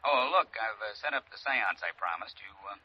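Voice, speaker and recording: An actor playing a casual, teasing police detective pal in 1950s radio style, heard through a telephone filter. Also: male voice, fairly low pitch, expressive, fairly quick, slightly rough. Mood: amused, offhand. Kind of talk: 1950s radio drama acting. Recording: dull vintage radio, tinny phone line.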